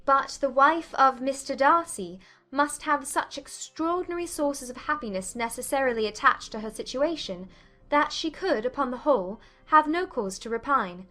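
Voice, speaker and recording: A woman playing a poised, defiant young gentlewoman in a dramatized audiobook, composed, articulate and firm. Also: high-pitched, lively intonation, moderate pace. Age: young adult.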